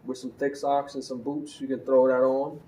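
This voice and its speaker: A man's voice: mid-range voice, level tone, normal speed, dark tone, recorded from a distance, slight background noise. A man giving a casual, conversational gear review as a motorcycle vlogger, with easy fillers and restarts. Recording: roomy, from a bit off the mic, faint ambience.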